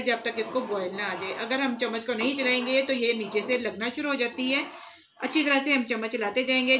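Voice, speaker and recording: A woman giving calm, step-by-step cooking instructions in a home kitchen, talking directly to her viewers while she cooks. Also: a little high, slight background noise. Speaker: cooking channel host. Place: home kitchen, off camera over a pot.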